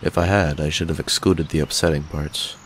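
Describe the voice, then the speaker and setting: A man's voice: extremely low pitch, fairly even intonation, brisk, a bit bright, slight background noise. A man voicing a stoic, guarded knight with a secretly tender side, speaking formally and with reserve in a dramatic cartoon comic dub. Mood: somber, regretful.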